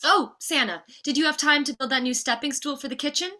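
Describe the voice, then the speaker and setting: Woman playing high, bright, sugary Mrs. Claus. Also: fairly quick. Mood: gently needling. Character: Mrs. Claus, old, sweetly cheery, nagging wife. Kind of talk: table read comedy acting.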